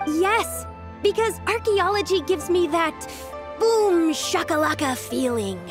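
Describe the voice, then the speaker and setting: Casting: young female game character, earnest and expressive, lively high register, over clear background music. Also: slow pace, full character voice. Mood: earnest, excited. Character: earnest, excitable young woman with a passion for archaeology. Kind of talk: video game voice acting.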